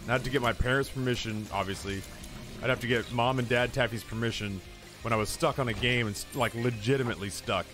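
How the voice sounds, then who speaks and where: A man's voice: mid-range voice, fairly even intonation, quick pace, over music. A man in his thirties who streams, telling stories in a rambling, profane, self-mocking chat. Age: 30s. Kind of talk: casual storytelling chat.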